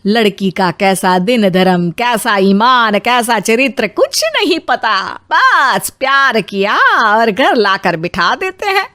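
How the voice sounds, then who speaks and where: A woman voicing a quarrelsome, taunting middle-aged Indian mother-in-law in an animated family drama. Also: fairly high pitch, takes it slowly, hardly any pauses, exaggerated voice. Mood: complaining. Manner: taunting, melodramatic complaining.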